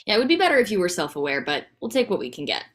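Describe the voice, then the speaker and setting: A woman playing a no-nonsense wife and mother with casual, deadpan delivery in a comedy table read. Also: medium pitch, rapid-fire. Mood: blunt.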